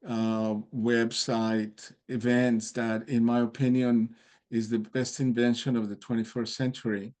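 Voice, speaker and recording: Mellow man. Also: mid-range voice, level tone, unhurried. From Colombia. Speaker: participant in a group video meeting. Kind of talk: casual chat. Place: video call.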